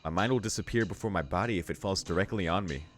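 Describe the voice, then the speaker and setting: A man's voice: low-pitched, fast talker, background noise. A man hosting a let's read, narrating visual novel text aloud and adding casual reactions of his own. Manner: casual, swearing asides between read lines. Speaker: let's play host, reader. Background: game music.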